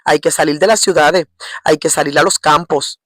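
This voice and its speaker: A middle-aged woman with a Puerto Rican accent preaching informally in a halting, repetitive way, often checking for agreement with short tag questions. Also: deep voice, fairly even intonation, dry recording. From Puerto Rico. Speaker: lay preacher. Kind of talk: informal religious exhortation.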